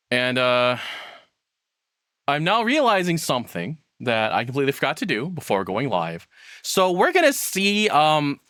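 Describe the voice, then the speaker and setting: A man hosts a livestream in a mid-pitched voice that moves up and down in a lively way. He rambles casually to his viewers and pokes fun at himself. The sound is close and dry, from a streaming mic in his own room. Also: clean tone, no room sound. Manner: rambling, self-deprecating. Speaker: livestream host. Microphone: processed streaming microphone, close and dry.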